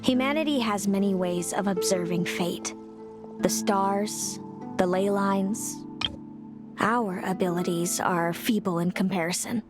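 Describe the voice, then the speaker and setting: Game role, actress voicing a guarded, prophetic young woman; slow delivery, cool and weary. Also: medium pitch, over music, natural voice. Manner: cool, curt, warning, reluctant. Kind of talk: game cutscene voice acting. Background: game score.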